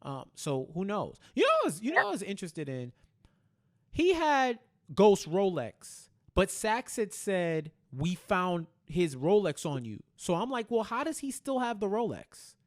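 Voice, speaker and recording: A man with a fairly high voice chats casually as a podcast host. He retells scenes by voicing the quotes and often doubles up phrases for emphasis. The style is loose, conversational TV recap. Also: sing-song, measured pace.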